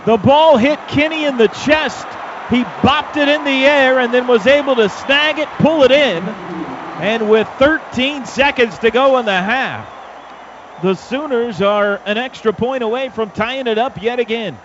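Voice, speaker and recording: An American man calling live football play by play on radio, in clipped phrases that rise to shouts on big plays. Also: very high voice, unhurried, crowd noise. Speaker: play-by-play announcer. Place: stadium radio booth. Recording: dull radio broadcast, loud stadium noise behind.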